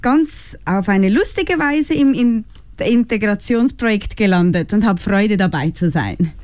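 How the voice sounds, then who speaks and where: A woman's voice: mid-range voice, lively intonation, a little slow, a little mellow. A woman from Switzerland giving casual answers as a participant in a radio workshop.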